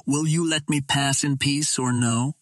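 A man's voice: medium pitch, steady tone, unhurried, a bit bright, no echo, studio quality, voice pushed up, natural voice. A man voicing a bold, gallant swordsman hero in an adventure audiobook, proud, courtly and earnest. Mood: bold, defiant.

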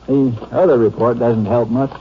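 A man acts an easygoing small-town Texas sheriff in vintage radio drama. His American voice is folksy and unhurried. The recording is thin and phone-like, with faint noise. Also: mid-range voice, fairly even intonation, close to an everyday voice. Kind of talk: 1950s radio drama acting. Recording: tinny, noisy old radio recording.

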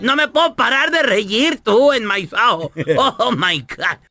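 A man's voice: very high-pitched, big pitch swings, slow, exaggerated voice. A man voicing a giggly, stoned older prank caller who keeps laughing and tossing in English phrases on a radio show.

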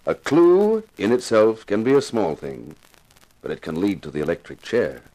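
An actor playing a wry, world-weary television star of a detective show, in 1950s radio drama style, dramatic and wisecracking. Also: male voice, fairly low pitch, wildly swooping pitch, moderate pace, dark tone, low-quality recording. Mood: grave, inviting. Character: wry, world-weary male TV actor who plays a hard-boiled inspector. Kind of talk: 1950s radio detective drama acting with first-person narration.

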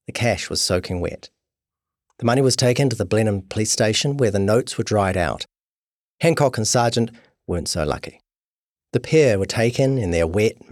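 A man's voice: on the low side, dry recording, clean studio sound. A man narrating a scripted documentary podcast.

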